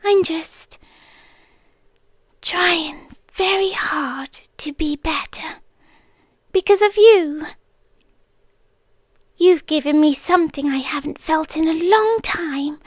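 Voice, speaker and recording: A woman's voice: extremely high pitch, no room sound, exaggerated voice. A British woman playing a playful, tender, possessive young forest monster girl in an ASMR roleplay, teasing and intimate, often slipping toward a whisper. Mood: wistful, tender.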